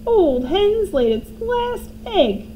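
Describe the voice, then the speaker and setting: A cranky old grandmother yells in a shrill, high voice, as a woman puts her on. She drags her words out slowly and swings her pitch widely as she shouts. A faint hiss sits underneath. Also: sing-song, exaggerated voice. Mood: alarmed.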